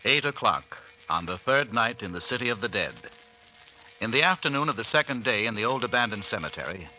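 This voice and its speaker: A man announces a vintage radio serial in formal, portentous tones. His mid-pitched voice is very bright and forceful, on an old recording with faint hiss. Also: low-quality recording. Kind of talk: 1930s-40s radio announcing, scripted narration.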